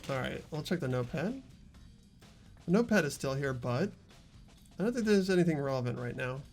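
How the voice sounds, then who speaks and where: A man's voice: mid-range voice, expressive, a little fast, a little mellow. A man hosting a video game playthrough, chatting casually and reading narration aloud, with game music behind him. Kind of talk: casual gameplay chat with read-aloud narration.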